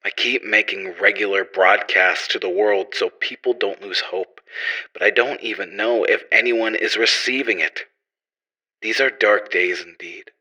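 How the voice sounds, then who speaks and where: A man plays a weary, guilt-laden scientist hero in an audio horror drama. His voice is on the low side and halting, earnest as he clings to hope on a stranded space station. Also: pushed and bright, heavily acted, rough recording. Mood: weary, losing hope. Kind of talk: audio horror drama, personal log monologue.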